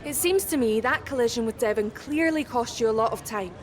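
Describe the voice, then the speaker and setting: Actress as quick-talking female race interviewer. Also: a little high, slight background noise, close to an everyday voice. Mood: inquisitive. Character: brisk, professional female motorsport TV interviewer. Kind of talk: scripted post-race interview in a video game.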